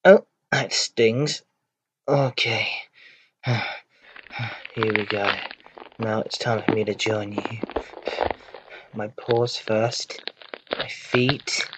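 A man with a lowish voice reads his amateur drama lines slowly and plainly. The home recording sounds dull and muffled, with a steady hiss underneath. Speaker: amateur voice actor.

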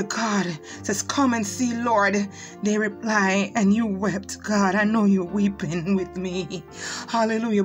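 A woman leading fervent spoken prayer, reciting scripture and pleading with repeated exclamations of praise. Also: mid-range voice. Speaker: prayer leader.

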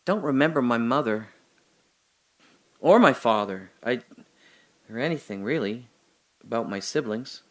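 A lost, memoryless prisoner talks himself in circles in an audio drama, played by a man. He speaks slowly and unhurriedly in a middle-pitched voice, drifting between giddy laughter and near-whispered worry. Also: expressive, natural voice. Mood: hushed worry. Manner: rambling, swings from giddy laughter to hushed muttering. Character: confused amnesiac man trapped alone in a hole. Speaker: audio drama lead actor. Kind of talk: solo audio drama monologue.

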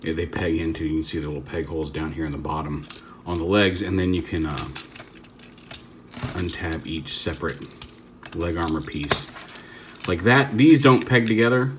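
Man, very deep voice, casual toy review, rambling, self-correcting, faint hiss behind. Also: normal speed, pauses often. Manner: rambling, stops to correct himself while handling the toy. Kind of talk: casual hands-on product demo.

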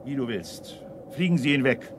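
An actor playing an authoritative army general in a radio play, with a clipped, commanding manner. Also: male voice, mid-range voice, expressive, normal speed, noisy background, natural voice.

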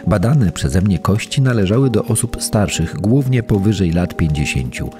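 An actor playing a sober forensic investigator, formally reading out a report for a documentary. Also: male voice, on the low side, expressive, moderate pace, warm tone, faint music behind, close to an everyday voice. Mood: sober, clinical. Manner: formal, clinical.

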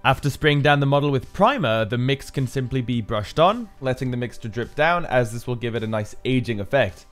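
A man's voice: medium pitch, fairly quick, few pauses, a bit bright, faint music behind. A man giving an upbeat, explanatory voice-over for a build tutorial, as the maker himself. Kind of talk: scripted tutorial narration.

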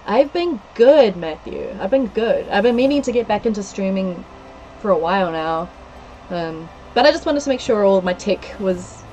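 A woman's voice: medium pitch, mellow, muffled recording. A woman in her thirties from New Zealand with a New Zealand accent, chatting casually on a game livestream and reading out viewer comments with frequent giggles. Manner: chatty, giggly, lists place names from chat. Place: small room indoors. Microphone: headset.

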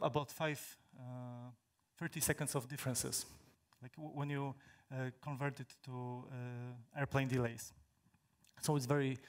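A young man from Poland, a native Polish speaker, giving a technical talk on stage in a large hall, halting with many fillers and restarts. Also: mid-range voice. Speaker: conference speaker.

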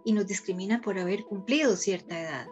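A woman's voice: mid-range voice, brisk, faint music behind. A woman in her sixties reading an advocacy statement earnestly to a webcam. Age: 60s. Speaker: older rights advocate. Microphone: video call webcam.

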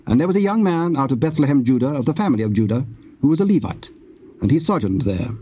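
Male narrator, measured scripture reading, mid-pitched and husky, muffled cassette sound. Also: big pitch swings, slight background noise. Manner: measured, formal. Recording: dull old cassette audio.

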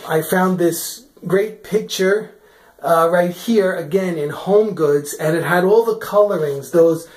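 A woman's voice: on the low side, darkish tone. A woman giving a casual walk-through of her house, chatty and full of fillers as she walks room to room behind a handheld camera. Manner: chatty, many fillers and restarts. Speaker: vlogger giving a house tour. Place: in a large furnished house, walking room to room. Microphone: handheld camera, speaker off screen.

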